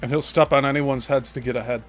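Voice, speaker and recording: A man's voice: mid-range voice, steady tone, fast. Brief: male host reacting to clips, cursing and contemptuous, music under him. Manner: profane, scornful, mocking. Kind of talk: angry commentary over clips.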